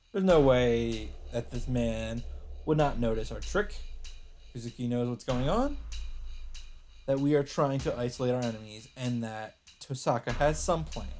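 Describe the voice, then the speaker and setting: A man's voice: medium pitch, darkish tone, slight background noise. A man hosting a let's play, reading visual novel narration aloud in a dramatic storytelling manner. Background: game music.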